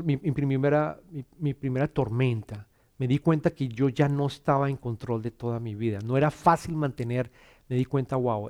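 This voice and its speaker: A middle-aged man from Colombia with a Bogotá accent, speaking in church and telling his personal testimony in an earnest, halting way, often repeating words and restarting. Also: medium pitch, darkish tone.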